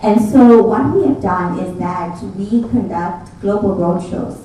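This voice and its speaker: Woman presenting at a conference, mellow and measured, heard from far off in a big hall. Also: mid-range voice, a little hiss or hum. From Zimbabwe. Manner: measured, with frequent fillers. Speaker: conference speaker. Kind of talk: business presentation. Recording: echoey hall, mic from far off.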